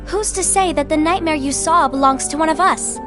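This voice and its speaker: Casting: actress as bubbly, earnest game girl, very high light voice, music behind. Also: brisk, lightly acted. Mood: earnest, worried. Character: sweet, spirited, naive young girl apprentice. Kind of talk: animated game cutscene acting.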